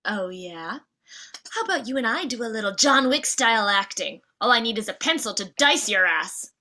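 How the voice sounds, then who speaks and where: A woman plays a betrayed, fed-up wife in a comedy read. She is confrontational and biting, with a high, forceful voice. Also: lively intonation, moderate pace, a little hiss or hum. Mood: scornful threatening. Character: Mrs. Claus, scornful, furious, fed-up betrayed wife.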